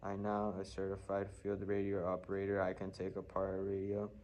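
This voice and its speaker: A man's synthetic voice reading a children's novel as scripted narration, flatly and with odd stumbles. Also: low voice, fairly quick. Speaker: audiobook reader.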